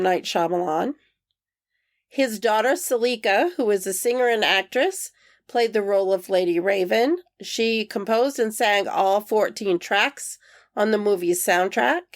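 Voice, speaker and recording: A woman co-hosting a film podcast, her voice nasal from a cold, chatting in a dry, matter-of-fact way. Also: mid-range voice. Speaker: podcast co-host. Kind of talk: casual chat.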